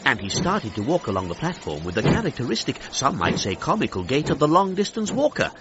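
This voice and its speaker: An actor playing an excitable 1930s British radio sports commentator, narrating with theatrical, plummy exclamations. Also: male voice, mid-range voice, brisk. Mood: brisk, jovial. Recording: dull radio sound, noisy crowd behind.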